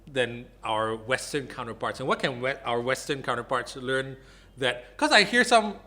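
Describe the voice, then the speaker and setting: A man's voice: medium pitch, lively intonation, normal speed, bright, forceful tone. A man from Malaysia hosting a casual podcast interview, asking questions and prompting the guest with a frequent "right?". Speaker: podcast host.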